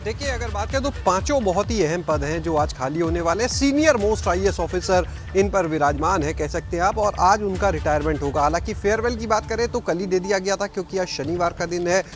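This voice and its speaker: A man's voice: high voice, lively intonation, fairly quick, few pauses, faint music behind. A man reporting live news in an explanatory, conversational manner, with some hesitant filler sounds.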